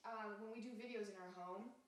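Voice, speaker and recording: Relaxed woman singer, roomy and distant. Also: medium pitch, brisk. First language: Japanese. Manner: easygoing, laughs along, many fillers. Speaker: singer, interview guest. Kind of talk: casual interview chat. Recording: echoey room, mic at a distance.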